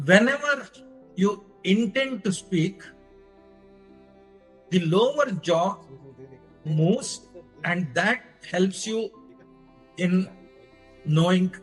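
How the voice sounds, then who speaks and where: An Indian-accented man delivers a technical lecture in a fairly high voice. He speaks slowly and deliberately, laying out each step in short phrases. Faint music sits in the recording. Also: husky, low-quality recording. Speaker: lecturer presenting own engineering research.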